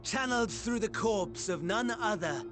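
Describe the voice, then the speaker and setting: A man's voice: high voice, animated, normal speed, over music. An actor playing a mocking male villain who speaks with sneering, theatrical glee in a game cutscene. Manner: sneering, theatrical, playful mockery. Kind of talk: video game cutscene acting. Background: orchestral game score.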